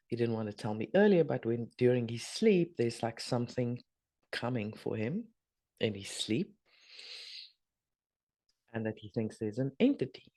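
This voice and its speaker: A middle-aged woman, hoarse and husky from a sore throat, chatting casually as host of a spiritual video update with rambling, trailing asides. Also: very deep voice, mellow. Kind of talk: casual spoken energy update.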